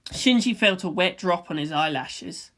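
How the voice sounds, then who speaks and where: A woman's voice: on the low side, lively intonation, unhurried, a bit bright. A woman narrator reading fan fiction as scripted storytelling.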